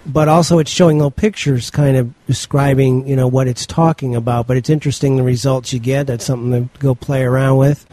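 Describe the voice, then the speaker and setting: A middle-aged man with a mellow, relaxed voice leads a loose podcast conversation. He rambles easily and breaks off with casual asides. The recording is dry and close. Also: mid-range voice, fairly quick, few pauses, dry recording. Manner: relaxed, rambling, reads out article titles. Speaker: podcast host. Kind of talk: casual panel chat.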